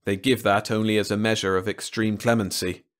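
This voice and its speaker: A gloomy, sardonic male crewman from a science fiction tale, as a man acts him out. He talks drily, savoring bad news, in a fairly low voice. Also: flat delivery, a little fast, lightly acted. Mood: dry. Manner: dry, gloomily satisfied.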